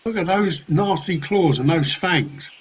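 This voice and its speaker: Cheeky, highish-voiced wheeler-dealer man acting. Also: animated, unhurried, slight background noise, rough recording. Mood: wary. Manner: cheeky, colloquial.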